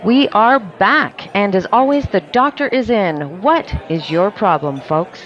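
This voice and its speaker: An actress playing a brash radio DJ in a drama, delivering showy on-air patter. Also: female voice, medium pitch, expressive, a little slow, natural voice. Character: brash radio DJ calling herself the doctor. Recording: dull sound, loud music behind.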